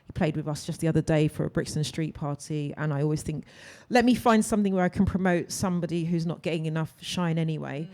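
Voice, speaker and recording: A woman's voice: sits low, quick pace, few pauses, a little mellow. A woman speaking as a guest DJ in casual radio chat, recorded in a studio.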